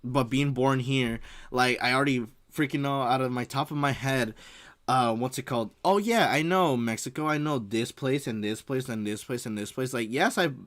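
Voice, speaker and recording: A man's voice: medium pitch, normal speed, fairly bright tone. A man from the United States giving casual, rambling reaction commentary as a streamer, leaning heavily on filler words.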